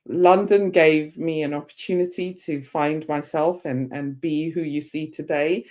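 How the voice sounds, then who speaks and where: Woman, 50s, from Zimbabwe, low voice, animated guest storyteller. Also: a little slow. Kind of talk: podcast interview.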